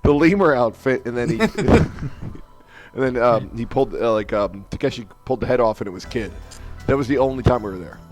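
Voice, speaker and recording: A man's voice: mid-range voice, lively intonation, fairly quick. A man with a mid-range voice joins a casual podcast discussion as a co-host. He sounds informal and conversational, over faint background music. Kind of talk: casual discussion of a video game story.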